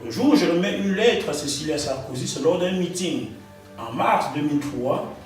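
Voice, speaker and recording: A man's voice: a little high, normal speed. Man telling his story. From Cameroon. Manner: animated, repeats phrases for emphasis. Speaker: guest author. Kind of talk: storytelling interview. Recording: echoey room, distant mic, faint music.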